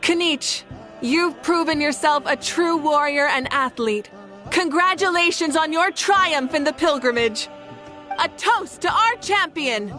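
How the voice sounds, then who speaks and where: An actress playing a kindly, composed young warrior leader in a video game, measured and reassuring. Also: female voice, sits high, animated, sharp tone, exaggerated voice. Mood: celebratory. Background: game music.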